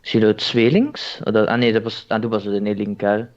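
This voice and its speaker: A man talking with his teammates during an online race, reacting loudly to hits and items. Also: fairly low pitch, level tone, moderate pace, highs cut off. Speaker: team player.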